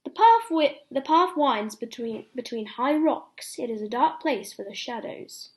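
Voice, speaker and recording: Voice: sits high, animated, unhurried. A young reader reading a children's bedtime story aloud, expressively, with explanatory asides to little listeners.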